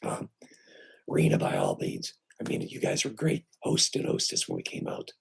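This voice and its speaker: A man with weak, breathy and raspy vocal cords, hoarse and short of breath, hosting a casual live stream from home on his computer and talking haltingly with many fillers. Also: extremely low pitch. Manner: halting, with many fillers and restarts, lively when greeting viewers. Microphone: computer microphone.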